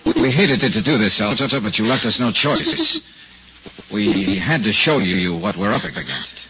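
A breezy, teasing radio detective. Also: male voice, on the low side, big pitch swings, normal speed, noisy background. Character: wry, charming male amateur detective. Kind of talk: 1940s radio acting. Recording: lo-fi old radio broadcast with music.

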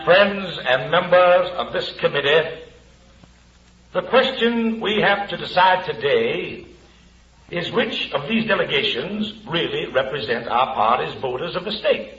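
A solemn, calculating orator in an old-time radio play. He speaks very slowly and formally in a fairly high voice. The sound is muffled and strongly echoing. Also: animated, fairly bright tone. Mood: solemn, emphatic. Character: solemn, calculating political orator addressing a party committee. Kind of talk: speech in an old radio drama.

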